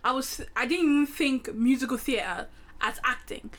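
High-voiced young woman, chatty. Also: normal speed. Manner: lively, laughing anecdotes with casual swearing. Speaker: podcast co-host. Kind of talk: casual chat.

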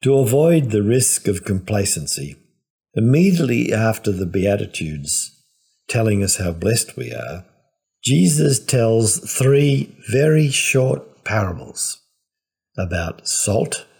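Priest, male, low husky voice, unhurried scholarly homily delivery. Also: lively intonation, darkish tone. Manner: measured, lecturing explanations. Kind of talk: reflective homily.

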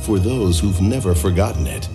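A very deep male voice narrates a film trailer with slow, weighty phrasing. Loud orchestral music plays under every line, almost swallowing the voice. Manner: slow, measured, dramatic delivery. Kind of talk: scripted trailer voice-over.

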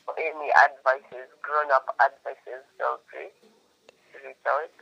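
A man's voice: mid-range voice, level tone, measured pace, vocal fry, sharp tone, no echo, muffled recording. A man, co-hosting a casual chat in a playful, joking way and laughing often. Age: 30s. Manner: playful, laughs often, mixes Swahili and English. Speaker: podcast co-host.

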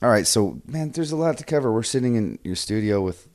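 A man's voice: medium pitch, expressive, fairly quick, darkish tone, no echo. A man hosting a podcast, talking in a rambling, casual way, often saying "man" and "dude" and swearing, recorded in a photo studio. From Washington State. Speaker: podcast host. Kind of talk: casual interview chat.

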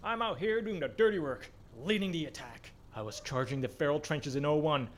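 Man doing a gruff boastful raccoon sergeant. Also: a little high, a little fast, slight background noise, natural voice. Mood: defensive. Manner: barking military orders, boastful. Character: gruff blustering male raccoon sergeant, war veteran.

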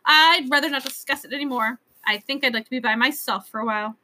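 A woman acts out a male royal creature from a children's storybook, bright and high-pitched. He is grand, excitable and imperious, with a lonely, insistent streak. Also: fast talker. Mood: dejected. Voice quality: lisping.

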